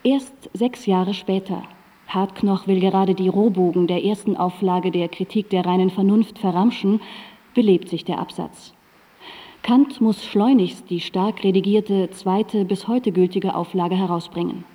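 A woman narrating a radio play as a composed, erudite guide, with measured, articulate and factual delivery. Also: mid-range voice, fairly even intonation, warm tone, long reverb tail, close to an everyday voice.

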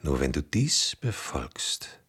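An actor in a German radio drama playing a weary, grey-haired old hero back from the sea, gravelly and brooding. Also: male voice, rumbling low, very animated, takes it slowly, a bit bright, in a whisper, exaggerated voice. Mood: solemn recollection. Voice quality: gravelly, husky.

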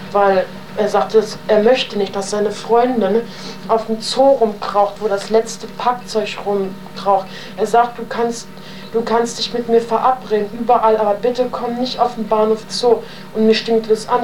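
A young woman from an old, slightly noisy interview tape speaks at a medium pitch. She tells her own story loosely, in slangy everyday words, wandering from clause to clause. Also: a little mellow. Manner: rambling, with slangy wording. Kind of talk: spontaneous, colloquial oral testimony.

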